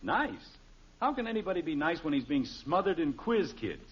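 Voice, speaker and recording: A man's voice: on the high side, very animated, moderate pace, fairly bright tone, husky, heavily acted. An actor playing an exasperated, blustering middle-aged American father in a 1950s radio sitcom, speaking emphatically. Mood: indignant. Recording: dull, noisy old radio recording.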